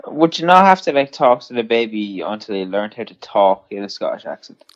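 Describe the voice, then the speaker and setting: A man's voice: lowish voice, very animated, fairly quick, lo-fi sound. A man co-hosting a podcast in casual, informal chat. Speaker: podcast co-host.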